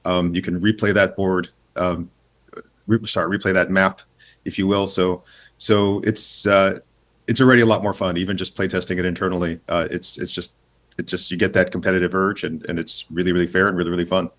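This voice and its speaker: A low-voiced man, the head of a game studio, walks through his game in a relaxed interview. He stops and starts often, filling the gaps with "um" and "uh" as he gathers his thoughts. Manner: hesitant, many "um" and "uh" pauses and restarts. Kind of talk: casual interview, explaining a game.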